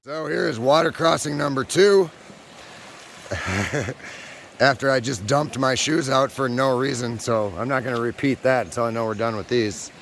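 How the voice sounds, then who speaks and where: A man narrating his backpacking trip to the camera outdoors on a trail, chatty and self-deprecating, his voice going hoarse. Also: medium pitch, moderate pace, slight background noise. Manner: chatty, self-deprecating, addresses viewers directly. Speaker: backpacking vlogger. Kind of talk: casual vlog narration on the trail. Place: outdoors on a hiking trail by a creek.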